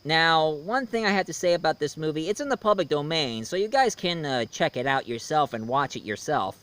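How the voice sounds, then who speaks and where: Clear, higher-voiced man reviewing films casually. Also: expressive, brisk, a bit bright. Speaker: reviewer, host. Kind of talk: casual rambling film review commentary.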